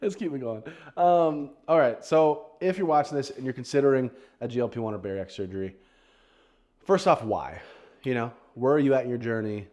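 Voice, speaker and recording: A man's voice: medium pitch, fairly quick, darkish tone. A man co-hosting a podcast in a casual, bantering style, often joking with his co-host.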